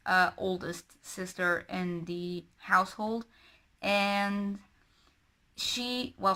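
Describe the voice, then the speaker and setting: Slow-talking woman, bright tone. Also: medium pitch. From Portugal. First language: Portuguese. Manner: chatty, rambling, with many self-corrections. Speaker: book and beauty vlogger. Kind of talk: casual chat while doing makeup.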